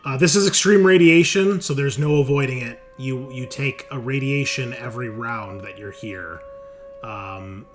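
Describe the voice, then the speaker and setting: Male game master, mid-pitched and conversational, explaining tabletop rules over faint music. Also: moderate pace. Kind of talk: running a tabletop roleplaying game, casual.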